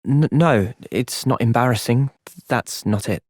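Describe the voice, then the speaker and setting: A man playing an earnest, thoughtful young soldier and party leader in a video game, sincere and resolute in a measured way. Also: medium pitch, dry recording, studio quality, natural voice. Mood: soft, subdued. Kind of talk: video game cutscene acting.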